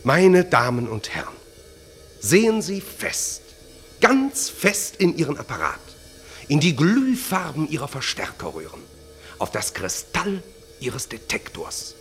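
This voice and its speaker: Uncanny magician, wildly swooping voice. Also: male voice, fairly high pitch, wildly swooping pitch, a little slow, soft background music. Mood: hypnotic, commanding. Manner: declamatory, hypnotic, insistent. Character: uncanny, insistent male magician, taunting and self-assured. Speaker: radio drama actor. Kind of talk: theatrical early German radio play acting.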